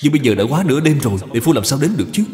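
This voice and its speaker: Earnest elder brother , a man acting, lively intonation. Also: mid-range voice, rapid-fire, faint music behind, voice pushed up, close to an everyday voice. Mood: anxious. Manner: earnest, admonishing. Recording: long hall reverb.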